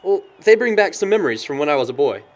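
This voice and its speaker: Animated, higher-voiced young American man acting. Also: quick pace. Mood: fond, nostalgic. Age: young adult. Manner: chuckles often, animated storyteller. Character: cheerful young man, sentimental but worried about his sister. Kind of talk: audio drama dialogue.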